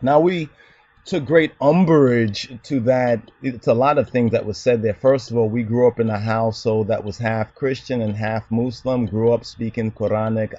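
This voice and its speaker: A man's voice: medium pitch, mellow, dry recording, dull audio. Lecturing man, dry and close. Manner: lecturing, rhetorical questions, repeats "you understand". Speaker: channel host answering a commenter. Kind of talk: argumentative commentary monologue.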